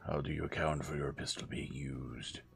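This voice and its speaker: Guy reading as no-nonsense male investigator, clipped and stern, deep gravelly voice, mystery audiobook. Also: little pitch movement, normal speed, faint music behind, full character voice. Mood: accusing. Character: terse, commanding male investigator grilling a suspect.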